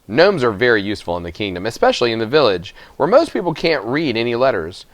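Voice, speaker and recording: Guy reading a kids book out loud, mid pitch, dry close sound. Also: sing-song, quick pace, dry recording. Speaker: storybook reader. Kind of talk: scripted children's novel narration.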